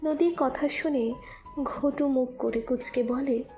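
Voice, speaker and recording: A woman with a fairly high voice narrates a scripted romantic story. Faint music plays beneath her reading. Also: steady tone, slow pace, warm tone, dull audio.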